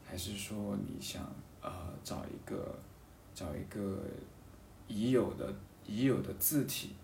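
A man with a fairly low voice talks reflectively in an echoey room, set back from the mic with faint noise around him. He thinks aloud, halting and circling back over his phrases in casual conversation. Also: creaky, recorded from a distance. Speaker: podcast guest talking about a hobby of seal carving. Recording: roomy echo, off-mic, faint background noise.

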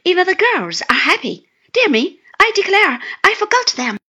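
A woman voicing a cheerful, excitable, good-natured young man who gushes with delight, in an audiobook reading. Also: extremely high pitch, moderate pace, voice pushed up, heavily acted. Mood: chatty. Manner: gushing, effusive exclamations.

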